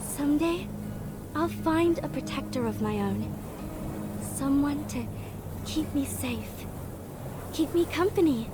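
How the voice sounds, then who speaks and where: A dreamy, sheltered young female rabbit in a fantasy game. The voice is high and slow, hesitant and easily flustered. Music is clearly heard underneath. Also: lively intonation. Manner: hesitant, flustered, sweetly naive. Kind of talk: character acting in a fantasy visual novel. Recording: music behind the voice.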